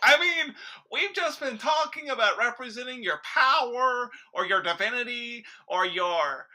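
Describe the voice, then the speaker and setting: A woman playing a brash, eager male monument designer with a blunt, suggestive pitch in a radio comedy sketch. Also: lively intonation, a little slow, sharp tone, full character voice. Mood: persuasive. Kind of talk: old-time radio sketch comedy parody.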